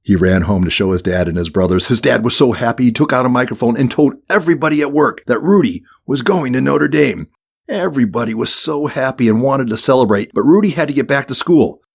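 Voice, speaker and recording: A man's voice: mid-range voice, animated, fairly quick, vocal fry, breathy edge. A man reading a scripted children's audiobook as the narrator.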